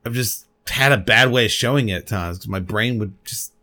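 A man's voice: fairly low pitch, fairly quick, pushed and bright. A man hosting his own solo podcast, talking at a desk microphone in a rambling, candid and passionate way, with casual swearing. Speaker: podcast host. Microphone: desk microphone at a computer.

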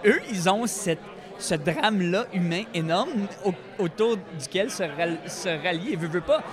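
A man gives reflective, conversational interview answers. His voice is high-pitched for a man. A crowd is clearly heard in the background. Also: expressive, unhurried. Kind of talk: casual reflective interview talk. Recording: busy crowd noise around.

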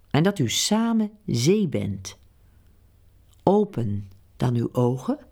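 Slow, gravelly-voiced woman guiding a meditation. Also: sits low. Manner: slow, hushed, incantatory, guiding the listener. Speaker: narrator. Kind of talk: scripted meditative narration.

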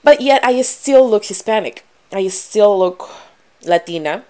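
A young woman from Ecuador with a strong Ecuadorian Spanish accent, talking earnestly to her viewers, pausing and restarting as she goes. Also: mid-range voice, clean tone. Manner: earnest, frequent restarts and fillers, grows animated. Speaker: vlogger. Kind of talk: personal talk to camera.

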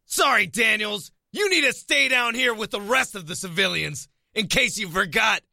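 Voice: mid-range voice, animated, normal speed, vocal fry, bright, forceful tone, no room sound, clean studio sound, exaggerated voice. A performer playing a hostile, aggressive armed mercenary who barks orders in an audio drama. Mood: scornful. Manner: barking, domineering.

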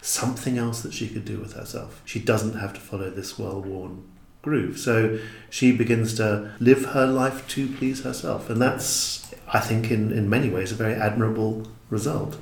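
A man's voice: fairly low pitch, steady tone, moderate pace, vocal fry, hardly any pauses, a little mellow. A man in reflective conversation as a podcast guest, speaking thoughtfully and with emphasis. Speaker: podcast guest, drama creator. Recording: roomy, echoing indoor sound.

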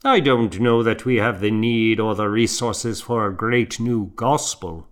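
A man's voice: lowish voice, a little slow, pitched above the performer's own voice, lightly acted. A man reading the part of a stern, cold monk who serves as the abbot's assistant, speaking in a clipped, disapproving way. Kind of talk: audiobook character voice.

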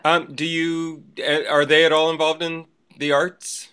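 A man's voice: on the high side, completely flat delivery, measured pace, pushed and bright, smooth. A man co-hosting a casual interview, reacting briefly and asking the guest questions. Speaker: podcast co-host interviewing a guest.

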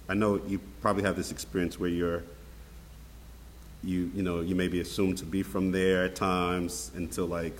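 A man's voice: low voice, long reverb tail, slight background noise. A man co-hosting a podcast, talking casually, hesitant and apologetic as he rambles toward a question. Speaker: podcast co-host. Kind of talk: casual conversation.

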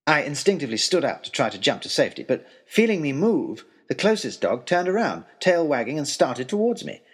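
A man playing a florid, anxious young gentleman adventurer, telling his tale in a wordy, mannered way with wry understatement in a comic audio drama. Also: on the high side, big pitch swings, brisk, slightly rough. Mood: tense, dramatic. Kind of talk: first-person comic adventure storytelling, letter-like period narration.

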